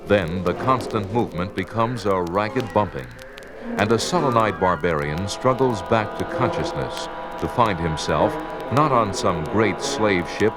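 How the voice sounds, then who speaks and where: Deep dramatic adventure narrator. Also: male voice. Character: grave, dramatic male adventure-tale narrator. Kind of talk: 1970s scripted audio drama narration.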